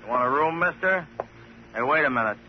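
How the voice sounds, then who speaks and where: An actor playing a nosy, slow-witted hotel clerk with colloquial, hesitant speech in a vintage radio drama. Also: male voice, a little high, lively intonation, close to an everyday voice. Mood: wary. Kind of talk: 1950s radio crime drama acting. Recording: noisy, muffled vintage radio recording.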